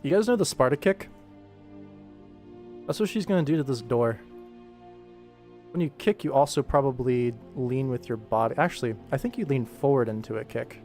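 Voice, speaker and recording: A man's voice: medium pitch, moderate pace, pauses often, darkish tone. Rambling, joking man streaming over background music. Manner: rambling, self-deprecating, jokey. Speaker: animator streaming his work. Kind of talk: casual livestream chat while working.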